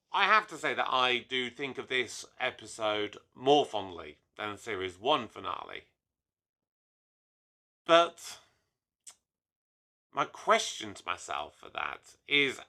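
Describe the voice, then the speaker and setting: A young man talks straight to his viewers in a loose, animated review, slipping in asides as he goes. His voice is slightly hoarse from a cold, yet it stays sharp and very bright, sitting at an ordinary middle pitch. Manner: chatty, animated, with fillers and asides. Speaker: YouTube reviewer. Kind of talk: casual solo review talk to camera.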